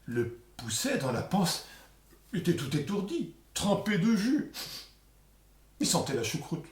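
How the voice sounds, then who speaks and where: A man's voice: high-pitched, sing-song, unhurried. A man telling a folk tale aloud in a theatrical, colloquial oral storytelling style. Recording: echoey room.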